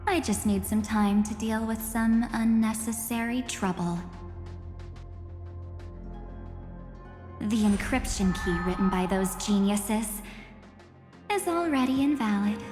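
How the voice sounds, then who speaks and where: Slow, echoing, taunting villainess. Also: female voice, mid-range voice, darkish tone. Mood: teasing. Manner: slow, deliberate, teasing, with breathy whispered phrase endings. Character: cool, taunting, sinister woman antagonist toying with others. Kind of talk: English game dub voice acting. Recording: echoey hall reverb, music behind.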